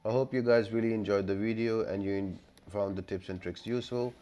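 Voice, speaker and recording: A man's voice: on the low side, fairly even intonation, brisk, mellow. A man giving an instructional, step-by-step voice-over for a gameplay tutorial. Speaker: game guide video creator. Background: background music.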